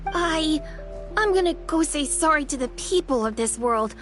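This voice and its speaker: Little game dragon: extremely high, childlike, earnest, lively, music behind. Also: female voice, normal speed. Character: small, innocent, childlike young dragon, earnest and eager. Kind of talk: video game character acting.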